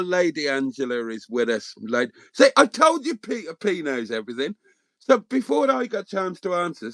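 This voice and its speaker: Slow, rambling middle-aged man, dry sound. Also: on the high side, fairly bright tone, no echo. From Wales. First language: Welsh. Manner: rambling, hesitant, many ums and restarts. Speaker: livestream host. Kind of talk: casual livestream chat answering viewers.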